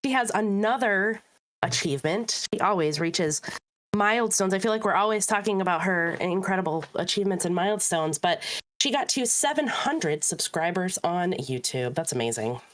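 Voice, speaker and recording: A woman co-hosting a casual podcast, lower-pitched than most women. Her voice is slightly hoarse from being sick, but she stays chatty and upbeat. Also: expressive, moderate pace. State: slightly rough, hoarse voice from recent illness. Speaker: podcast co-host. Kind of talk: casual chat.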